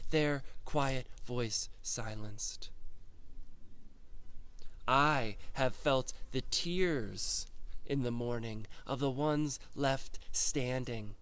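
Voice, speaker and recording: A man hosting a reflective spoken musing, who reads a poem in a slow, contemplative way with long pauses. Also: medium pitch, fairly bright tone, clear, resonant tone. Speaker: host.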